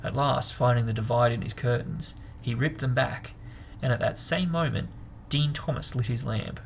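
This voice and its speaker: A man's voice: mid-range voice, normal speed, vocal fry. A man hosting a book discussion, reading a story and chatting casually about it. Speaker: host and reader. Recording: noticeable background noise.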